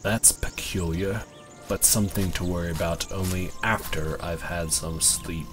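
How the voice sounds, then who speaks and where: A man's voice: low voice, fairly even intonation, measured pace, fairly bright tone, soft background music. A man acting an exhausted, stern elf father in an audio drama. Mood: weary, relieved.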